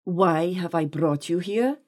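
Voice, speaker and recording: A woman voicing a scornful, menacing and seductive male desert sheikh in an audiobook, with a calm, slow, imperious delivery. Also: fairly low pitch. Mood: heated, mocking.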